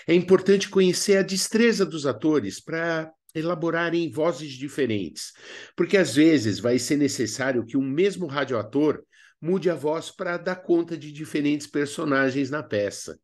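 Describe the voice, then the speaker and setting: A man with a Brazilian accent presenting an instructional lesson in a didactic, expressive way with colloquial asides. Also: fairly high pitch, a little slow. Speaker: drama teacher presenting a video lesson. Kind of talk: instructional narration with acted examples.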